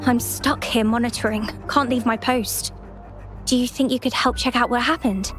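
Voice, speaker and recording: An earnest, polite young researcher, voiced by a woman explains things carefully in a video game cutscene. Her voice sits fairly high. Game music plays clearly behind her. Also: steady tone, normal speed, close to an everyday voice. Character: earnest young female field researcher, worried.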